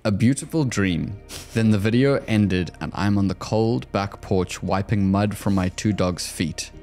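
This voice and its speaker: A man's voice: on the low side, unhurried, a little mellow, soft background music. A young man co-hosting a casual chat, reading viewer comments aloud in an upbeat, teasing way.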